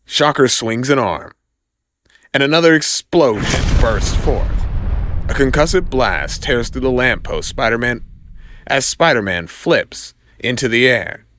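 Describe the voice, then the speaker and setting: A man's voice: mid-range voice, animated, a little slow, fairly bright tone, slight background noise. A man narrating an audio comic in a dramatic, scripted storytelling style.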